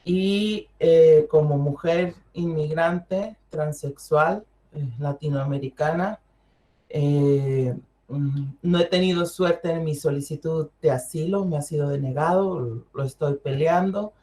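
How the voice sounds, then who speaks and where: A woman's voice: low voice, slow, dark tone. A young adult woman from Mexico with a Mexican accent, a guest speaking candidly on an online panel, with frequent fillers and restarts.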